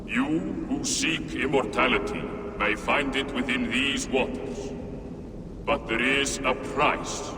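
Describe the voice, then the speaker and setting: An actor voicing an ancient, solemn keeper spirit of a sacred well in a video game, grave and oracular, in cavernous reverb. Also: male voice, mid-range voice, fairly even intonation, takes it slowly, far from the mic, background noise. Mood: solemn, foreboding.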